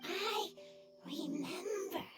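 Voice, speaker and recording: A woman voicing a small, timid, childlike fungus creature in a video game, speaking in halting broken phrases. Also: very high voice, over music, full character voice. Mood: hopeful, halting.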